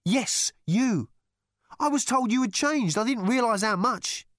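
A man's voice: fairly high pitch, big pitch swings, moderate pace, no room sound, studio quality, pitched above the performer's own voice. A man reading an audiobook, voicing a grim space conspirator with an emphatic, ominous way of talking. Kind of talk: audiobook character voice.

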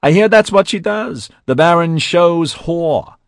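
A man's voice: fairly low pitch, moderate pace, no room sound, natural voice. A man voicing a foul-mouthed, bullying studio boss in a narrated novel, aggressive and mocking. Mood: sneering.

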